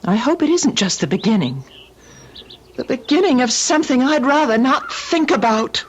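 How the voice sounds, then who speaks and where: An actress playing a polite, perceptive and uneasy wife in an old radio drama, with a measured, knowing manner. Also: female voice, high voice, very animated, lo-fi sound. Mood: suspicious, worried.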